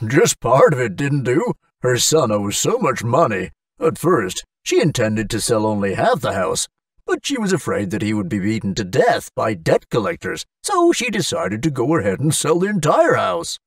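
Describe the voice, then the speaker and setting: A man's voice: medium pitch, huge pitch swings, moderate pace, dry recording, recorded from a distance. A gossipy village man in a cartoon, voiced by a man, disapproving yet pitying. He is exclamatory, with wildly swinging intonation in a dry recording. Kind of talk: English-language cartoon acting.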